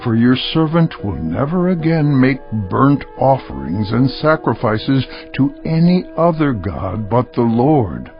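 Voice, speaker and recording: An actor playing a proud, earnest army commander in a dramatized scripture reading, speaking in a declamatory way. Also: male voice, medium pitch, sing-song, measured pace, hardly any pauses. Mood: humble, earnest. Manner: declamatory, emphatic. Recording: dull lo-fi, music underneath.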